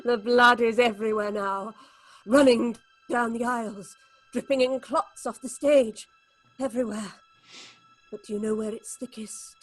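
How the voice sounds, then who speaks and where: High-voiced, unhinged actress confessing murder. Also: female voice, fairly even intonation, unhurried, soft background music, poor audio. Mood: haunted, despairing. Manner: melodramatic, ranting, unhinged. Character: guilt-crazed, jealous actress confessing murder. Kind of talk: theatrical horror audio drama.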